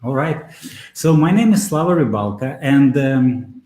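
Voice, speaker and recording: A man with a mid-range voice, answering interview questions over a video call. He talks in a steady, measured way and often pauses on "uh". The sound carries a clear roomy echo. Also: mid-range voice. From Belarus. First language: Russian. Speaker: guest, SEO consultant.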